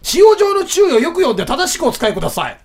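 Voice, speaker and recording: A man playing a brisk commercial announcer lecturing youngsters in mock advertising narration. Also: very high voice, wildly swooping pitch, noisy background, voice pushed up, heavily acted. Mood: brisk, upbeat.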